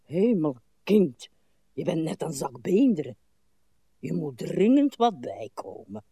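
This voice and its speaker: A grumbly self-pitying old lady in a radio play, very animated, drags her words out. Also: low voice, slow, breathy edge, no echo, exaggerated voice. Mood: grumbling. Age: older. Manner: theatrical, sardonic, exclamatory.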